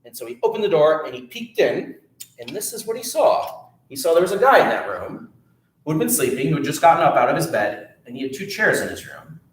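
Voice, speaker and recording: Animated man telling a children's story. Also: on the high side, fairly quick, echoey room, poor audio. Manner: animated, with sound effects and asides to the children. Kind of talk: children's storytime read-aloud.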